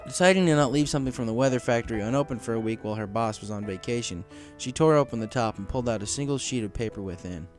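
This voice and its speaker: A man's voice: mid-range voice, fast talker, few pauses. A man narrating a scripted story as an audiobook reader, in a dramatic storytelling manner. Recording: music behind the voice.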